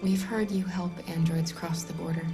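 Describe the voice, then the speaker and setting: A young woman voicing a gentle, protective android runaway in a video game, soft-spoken and earnest. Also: on the low side, level tone, normal speed, over music, natural voice. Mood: pleading. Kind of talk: naturalistic cinematic game acting.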